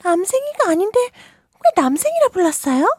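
A woman's voice: very high voice, very animated, rapid-fire, darkish tone, no echo, studio quality, pitched above the performer's own voice. A woman playing a pert, indignant little girl in a storybook, curious and protesting in a childlike way. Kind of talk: storybook character voice.

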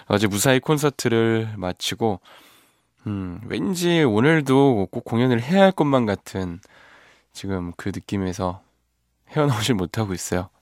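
A man's voice: lowish voice, dry recording. A man, a ballad singer, hosting a live radio show in a studio and chatting casually between listener messages. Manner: relaxed, chatty, self-deprecating. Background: faint guitar music.

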